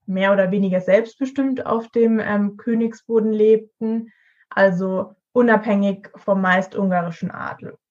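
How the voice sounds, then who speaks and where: Female, early twenties, student podcast co-host, informative delivery, average pitch, dull recording. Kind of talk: prepared explanatory podcast talk.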